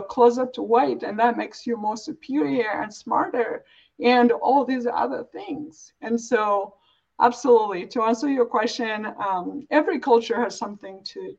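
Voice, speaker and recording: A woman's voice: a little high, creaky. Woman, dull lo-fi recording. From East Africa, born and raised in Uganda. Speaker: interview guest. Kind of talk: reflective, earnest interview talk. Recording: dull, lo-fi audio.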